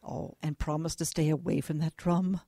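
A woman's voice: low-pitched, fairly even intonation, normal speed, creaky, warm tone, no echo, clean studio sound. A woman playing a weary but loving, strict working mother in a radio drama. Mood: stern.

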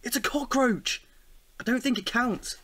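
A man's voice: extremely high pitch, a little slow, sharp tone, slightly rough, dry recording, full character voice. A man playing an exasperated, scornful, sarcastic housemate in an audio drama. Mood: defensive. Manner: sarcastic, incredulous. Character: exasperated, scornful, sweary young male housemate.

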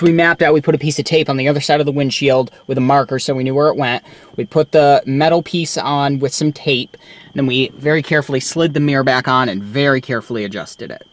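A young man casually showing off his car in a vlog, rambling with many ums, filmed on a handheld camera in and around his parked car. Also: mid-range voice. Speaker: vlogger. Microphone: handheld camera mic.

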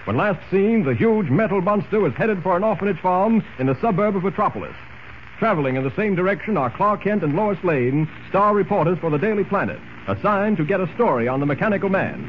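A dull, faintly hissing vintage radio recording carries a male narrator with a fairly high, very animated voice. He tells the serial with dramatic urgency. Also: brisk. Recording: muffled, hissy old radio recording.

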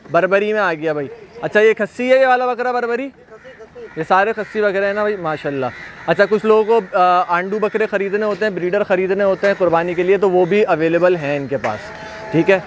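A man's voice: high-pitched, a little hiss or hum. A man hosting an on-site walkthrough of livestock, chatting casually and repeating praise often. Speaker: livestock video host. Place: outdoor goat pen at a farm.

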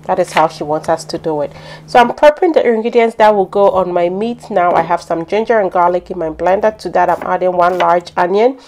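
A woman's voice: fairly low pitch, a little fast, a little mellow. A woman with a Ghanaian accent narrating a home cooking tutorial in a chatty, explanatory way. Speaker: home cook hosting a cooking channel. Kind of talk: instructional cooking narration, conversational.

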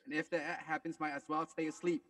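A man on a group video call, chatting casually and rapping in a measured way. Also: fairly high pitch, level tone, a bit bright. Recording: distant video-call microphone.

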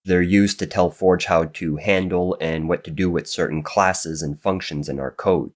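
A man narrating a screen-recorded coding tutorial while getting over a cold, his voice a little raspy and nasal, explaining in a steady, methodical way. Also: low-pitched, normal speed.

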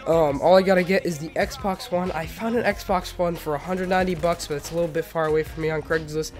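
A teenage male, slightly sick, giving casual, rambling and halting gameplay commentary as host of a gaming video. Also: on the high side, steady tone. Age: teenager, about 15. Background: music.

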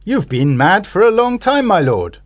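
A woman's voice: medium pitch, big pitch swings, normal speed, no room sound, studio quality. A woman voicing an eager male servant in a storybook, polite and deferential. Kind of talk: storybook reading.

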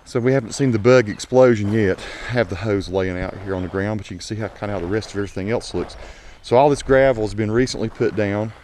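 Male garden-tour host, fairly deep, conversational and unscripted, on noisy outdoor action camera sound. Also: fast talker, hardly any pauses, darkish tone. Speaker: home gardener giving a tour. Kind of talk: casual vlog narration. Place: outdoors in a home garden, walking. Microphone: action camera mic.

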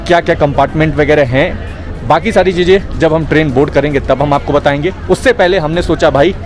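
A man's voice: fairly high pitch, a little fast. A young man from India, chatting casually to viewers as a travel vlogger, recorded outdoors on a camera held at arm's length. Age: young adult. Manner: chatty, addresses viewers as brother. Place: outdoors at a busy railway station. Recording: outdoor crowd noise, music behind.

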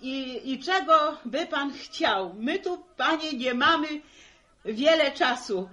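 A woman's voice: sits high, expressive, slow, pushed and bright, heavily acted. An actress playing a simple middle-aged village mother, hesitantly stumbling through a part in a 1960s radio drama. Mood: hesitant, reciting. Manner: hesitant, folksy, stumbling over words. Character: simple, flustered village mother haltingly reading a play part.

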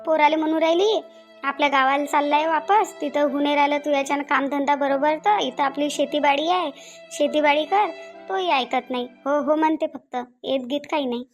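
A woman's voice: sits high, brisk, soft background music, close to an everyday voice. A woman playing a lonely, aging village mother in a cartoon, pleading and repeating herself in a Varhadi Marathi accent from Vidarbha. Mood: lonely, complaining. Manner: repetitive, lamenting. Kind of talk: cartoon dialogue.

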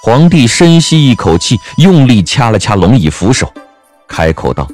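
A man narrating a scripted story in a wry, expressive manner. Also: medium pitch, normal speed, soft background music.

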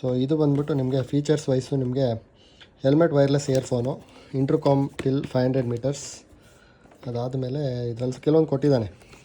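A young man with a Kannada accent talks through a product unboxing in a relaxed, chatty way. His voice is warm and mellow, pitched at an ordinary male middle. He drops English words into his sentences and corrects himself on the fly. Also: normal speed, slight background noise. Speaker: motovlogger reviewing a helmet intercom. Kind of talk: casual product review and unboxing.

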